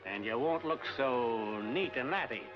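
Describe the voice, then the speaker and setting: An actor playing a hard, threatening older crooked boss with a sneering, domineering manner in a 1940s film. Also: male voice, medium pitch, expressive, measured pace, natural voice. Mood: gloating threat. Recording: dull 1940s film soundtrack with music.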